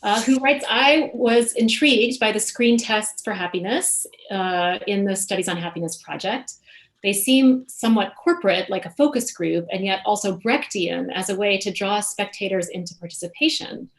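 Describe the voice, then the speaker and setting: A woman's voice: medium pitch, normal speed. A woman moderating a graduate seminar Q&A, reading audience questions aloud with frequent ums and uhs.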